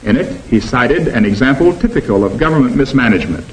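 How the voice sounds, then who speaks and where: A man narrating a 1950s documentary film in a measured, emphatic delivery. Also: mid-range voice, lively intonation, poor audio.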